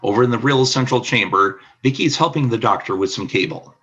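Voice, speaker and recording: A man narrating a scripted radio play as storyteller, with a husky voice. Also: mid-range voice, fairly quick, fairly bright tone, muffled recording.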